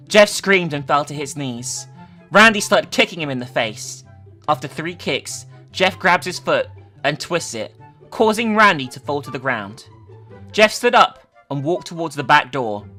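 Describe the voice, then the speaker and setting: An online host giving a dramatic reading of a horror story, with animated, sweary commentary. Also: medium pitch. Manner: animated, sweary, incredulous in asides.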